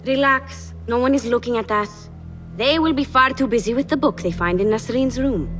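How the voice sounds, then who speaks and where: An actress playing a coy, coldly loyal young palace schemer in a game cutscene, speaking in a sly, controlled, deliberate way. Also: female voice, a little high, music in the background, natural voice. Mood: sly, cool. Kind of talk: video game cutscene acting.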